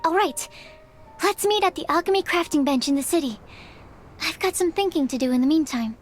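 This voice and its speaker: Bright, high-voiced young woman. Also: lively intonation, fast, faint music behind. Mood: hopeful. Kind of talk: animated game dialogue.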